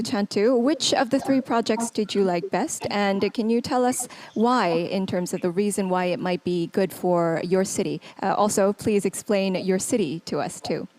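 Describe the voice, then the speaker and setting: A woman moderating a conference, asking panelists questions politely and briskly. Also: medium pitch. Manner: brisk and polite, slows down and speaks carefully in English. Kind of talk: bilingual moderating, switching between Korean and English. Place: conference room.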